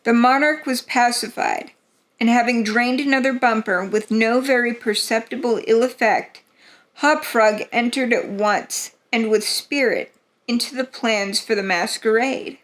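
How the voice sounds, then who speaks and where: A woman giving an atmospheric, theatrical narration of a gothic horror story, in a deliberate storytelling manner. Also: on the high side.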